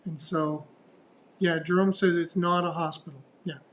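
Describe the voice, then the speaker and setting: A man hosting a casual morning news talk show, loud and chatty, posing rhetorical questions. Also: fairly high pitch, steady tone, moderate pace, slight background noise. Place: radio studio. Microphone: studio mic close up.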